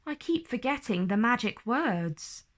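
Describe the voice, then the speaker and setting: Young storybook fairy girl, played by a woman: eager, clumsy, exclamatory, high-pitched, very animated. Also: unhurried. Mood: sheepish.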